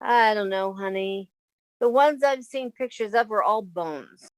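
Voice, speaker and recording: A woman's voice: medium pitch, unhurried, close to an everyday voice, rough recording. A woman playing a sensible, exasperated young roommate in a 1950s-style radio comedy. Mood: exasperated, reassuring.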